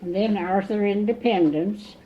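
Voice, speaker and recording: A woman in her nineties from Indiana, recalling her family slowly in an oral history interview at home. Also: medium pitch. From Indiana, USA. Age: 90s. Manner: chatty, recalls slowly with pauses. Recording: dull lo-fi 1980s cassette tape, faint hiss.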